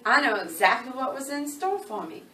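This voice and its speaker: A woman's voice: high-pitched, sing-song, normal speed, sharp tone, exaggerated voice. An echoing, slightly distant recording carries a grieving country widow played by a woman. She is plain-spoken and folksy, pleading yet defiant, in a dramatic reading of a novel. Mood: wounded, defiant. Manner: folksy rural dialect grammar, pleading. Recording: roomy, distant, faint background noise.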